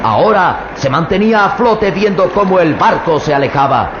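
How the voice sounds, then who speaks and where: A man's voice: high voice, animated, normal speed, roomy sound, lo-fi sound, background noise. A man narrating an old radio drama in a dramatic, emphatic way.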